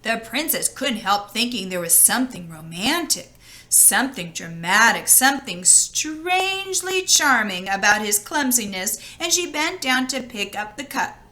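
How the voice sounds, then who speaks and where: A woman reading a children's story aloud expressively, with drawn-out, dramatic emphasis. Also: on the high side, very animated, bright, forceful tone. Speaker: storybook reader. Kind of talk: expressive children's read-aloud, with casual asides.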